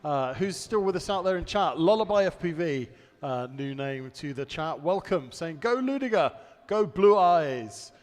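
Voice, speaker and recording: A man's voice: on the high side, expressive, moderate pace, hardly any pauses. A man hosting a live race stream, reading chat aloud and commentating, his voice getting worn. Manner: chatty, reads out chat messages, laughs easily. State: losing his voice. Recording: long hall reverb.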